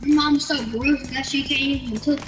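A woman's voice: sits high, fairly even intonation, normal speed, music in the background. A female player in casual game voice chat, giving defiant, childish comebacks.

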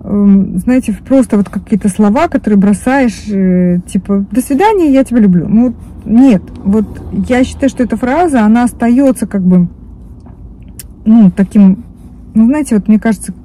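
A woman in her fifties talks to her phone camera from the driver's seat of a parked car. Her voice is soft, dark and warm. She rambles loosely, restarting words and filling pauses as she goes. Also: medium pitch, lively intonation, a little hiss or hum, rough recording. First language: Russian. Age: 50s. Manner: rambling, many restarts and fillers. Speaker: vlogger. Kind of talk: casual chat to camera. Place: parked car, indoors of vehicle. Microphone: phone camera on dashboard.

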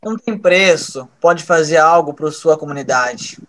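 A man's voice: fairly high pitch, moderate pace, fairly bright tone, soft background music, natural voice. A man playing a brash, cocky young schemer in an audio drama, blunt and commanding. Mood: self-satisfied.

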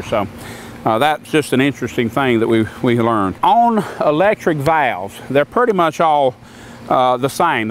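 An older man explains machinery in a plain, folksy way, stumbling and restarting now and then. His voice sits a little high for a man. He is recorded outdoors on a camera mic from a few feet away, with a faint hum of outdoor noise behind him. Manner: plain-spoken, folksy, repeats words when restarting. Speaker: sawmill maker explaining equipment. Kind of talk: casual outdoor equipment demonstration. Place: outdoors on a grassy lot beside a sawmill.

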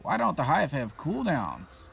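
Man gamer commentary, low gravelly voice, game music behind. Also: wildly swooping pitch, measured pace, a bit bright. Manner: frustrated, profane, talks to himself. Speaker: gaming YouTuber. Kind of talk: casual gameplay commentary.

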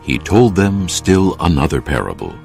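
An actor as a solemn male narrator, giving measured scripted narration in a dramatised audio Bible. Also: sits low, big pitch swings, creaky, a little mellow, heavily acted. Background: music.

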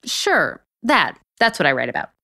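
A woman's voice: on the low side, moderate pace, gravelly, no room sound, studio quality, exaggerated voice. An actress playing a sarcastic, easily exasperated woman author in a romantic comedy audio drama. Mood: wry, evasive. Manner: sarcastic, excitable.

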